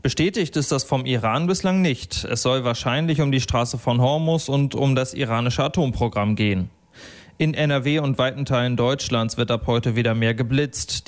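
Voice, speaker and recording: Medium-pitched male radio newsreader. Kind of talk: scripted local news reading. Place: radio studio.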